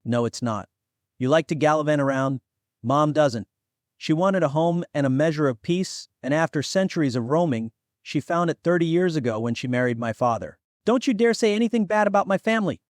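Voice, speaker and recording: Man playing an earnest, higher-voiced young demigod. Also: expressive, brisk, no room sound, studio quality, lightly acted. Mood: indignant. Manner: earnest, protesting. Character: earnest, cautious young demigod nephew, quick to take offence. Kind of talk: fantasy audiobook character acting.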